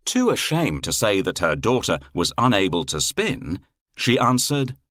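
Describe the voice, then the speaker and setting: A male storyteller reading a fairy tale to children with theatrical expression. His lowish voice swings widely in melody and moves very slowly, in a dry recording. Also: big pitch swings, a bit bright, dry recording.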